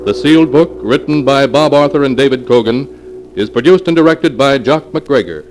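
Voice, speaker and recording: A man's voice: medium pitch, moderate pace, low-quality recording. A man hosting a 1940s radio mystery, giving ominous, theatrical scripted narration on an old broadcast recording.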